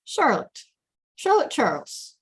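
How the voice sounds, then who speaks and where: A woman's voice: high voice, wildly swooping pitch, slow, exaggerated voice. A woman playing a blunt, shrewd detective in a script table read, terse and probing.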